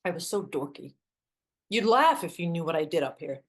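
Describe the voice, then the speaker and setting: Actress as a wry, expressive woman hiker. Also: lowish voice, normal speed, close to an everyday voice. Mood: nostalgic, wry. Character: wry, teasing adult woman hiker, self-deprecating best friend. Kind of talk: naturalistic two-hander drama acting.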